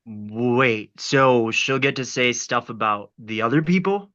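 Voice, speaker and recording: A man playing a bemused, reluctant new teacher with a hesitant deadpan delivery in a sitcom table read, on a lo-fi microphone. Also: mid-range voice, measured pace, close to an everyday voice.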